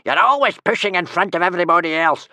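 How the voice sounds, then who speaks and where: Man playing yelling, bloodthirsty Vikings, high and forceful. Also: fast, no echo, heavily acted. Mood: outraged. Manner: yelling, roaring. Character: rowdy, villainous-sounding Viking warriors, bloodthirsty and squabbling.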